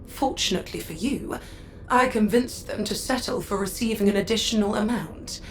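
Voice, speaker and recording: A woman's voice: mid-range voice, moderate pace, close to an everyday voice, poor audio. A haughty female magistrate from a sci-fi game, played by a woman, speaking in a mid-range voice with formal, condescending poise. There is faint noise behind her. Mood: smug.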